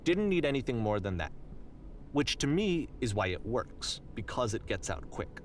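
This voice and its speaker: An actor playing an earnest, reflective game developer who guides players in conversational scripted narration. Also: male voice, medium pitch, very animated, normal speed, a little hiss or hum, lightly acted. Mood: reflective, explanatory. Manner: earnest, explanatory.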